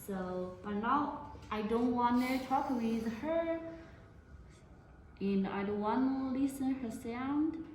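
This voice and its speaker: A woman giving a product demonstration to camera in halting, non-native English phrasing. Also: fairly high pitch, lively intonation, darkish tone. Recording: very echoey room, faint noise.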